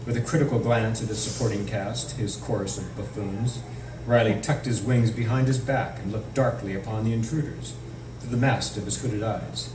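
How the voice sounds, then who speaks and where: A man's voice: lowish voice, fairly even intonation, normal speed. A man, an author, reading narrative prose aloud from his novel at a live indoor event. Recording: echoey noisy room, distant microphone.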